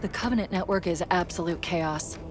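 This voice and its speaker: A woman playing a quick-witted, caring artificial intelligence in a video game, articulate and expressive. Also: on the low side, very noisy, natural voice. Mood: urgent briefing. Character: quick-witted female ship AI, urgent, brainy, caring. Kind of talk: video game cutscene acting.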